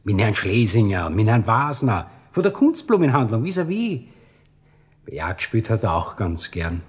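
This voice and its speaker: An actor playing a deferential, gossipy coffeehouse waiter with a Viennese accent in a radio drama. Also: male voice, lowish voice, sing-song. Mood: eager, gossipy. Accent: Viennese Austrian. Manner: servile, chatty, drawn-out storytelling.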